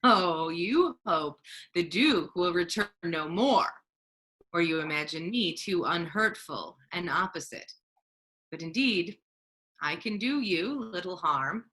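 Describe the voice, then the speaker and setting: A woman's voice: on the low side, animated, slow, fairly bright tone, low-quality recording. A woman acting a dignified, indignant friar in a staged Shakespeare reading, speaking in a formal, righteous manner. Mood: stern. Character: Duke disguised as a male friar, dignified, indignant.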